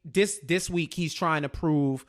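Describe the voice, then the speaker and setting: Emphatic man with a higher-pitched voice. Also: a little slow. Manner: animated retelling, repeats phrases for emphasis. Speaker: co-host of a TV recap show. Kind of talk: casual recap chat.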